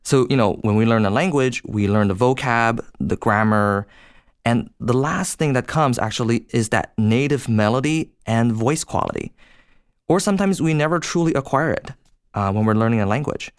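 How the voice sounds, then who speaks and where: A man's voice: mid-range voice, animated, moderate pace, smooth, no echo, studio quality. A young man from China co-hosting a podcast, explaining ideas in a thoughtful, conversational way. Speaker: podcast co-host.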